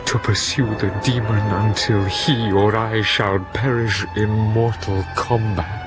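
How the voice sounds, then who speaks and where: Man acting a low-voiced, haunted, vengeful young scientist. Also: fairly even intonation, over music, close to an everyday voice. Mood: solemn, bitter. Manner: slow, solemn, anguished, theatrical. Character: grief-stricken, obsessed young male scientist recounting his revenge. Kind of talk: radio drama acting and narration.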